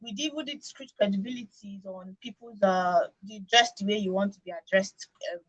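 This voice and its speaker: A Nigerian woman in an animated radio discussion, talking emphatically with opinions and rhetorical questions. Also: medium pitch, moderate pace, rough recording. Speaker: radio talk show host.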